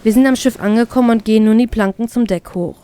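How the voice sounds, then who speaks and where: A woman reading a first-person fan fiction story as an expressive narrator. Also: fairly high pitch, quick pace, smooth.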